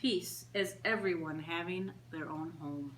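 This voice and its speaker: A woman reading picture books aloud to young children in an animated, deliberate story-time style with simple, child-directed phrasing. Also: fairly low pitch. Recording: roomy echo, faint background noise.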